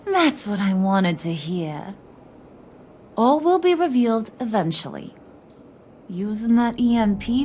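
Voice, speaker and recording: A woman's voice: medium pitch, darkish tone, a little hiss or hum. A woman playing a brash, kooky, flirtatious crime boss in a video game, with a slangy, teasing New delivery. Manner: slangy, playful, teasing, wise-cracking.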